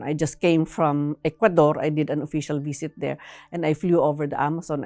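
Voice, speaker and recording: A woman giving explanatory interview answers in a measured, halting way, sometimes tagging phrases with a questioning "no". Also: low voice, darkish tone, no echo.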